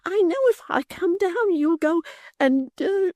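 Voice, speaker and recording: A woman's voice: extremely high pitch, a little slow, darkish tone, dry recording, pitched above the performer's own voice. A woman voicing a prim, hysterical young maidservant, affected and melodramatic. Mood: coy shrill.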